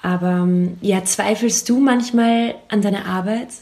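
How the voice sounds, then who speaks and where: A woman's voice: mid-range voice, unhurried, a little mellow. Encouraging woman interviewer, casual and affirming.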